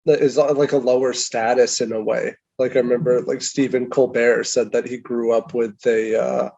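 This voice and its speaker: A man's voice: medium pitch, level tone, normal speed, creaky, darkish tone, lo-fi sound. Rambling man. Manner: rambling, hedging with "like" and "um". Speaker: podcast co-host. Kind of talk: casual chat.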